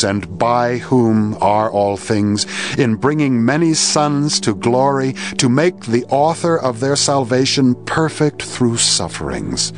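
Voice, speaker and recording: A man reads scripture from a script as a narrator, in a solemn, measured and deliberate manner. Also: mid-range voice. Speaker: Bible narrator. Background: background music.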